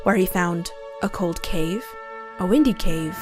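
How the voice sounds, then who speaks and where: A woman's voice: on the low side, measured pace. Woman narrating a storybook over music. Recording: game music under the voice. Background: fantasy game music.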